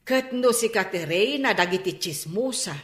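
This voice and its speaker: Sing-song, sharp-tongued teasing woman played by an actress. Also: mid-range voice, expressive, moderate pace, roomy sound, close to an everyday voice. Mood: teasing, scolding. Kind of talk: radio drama acting.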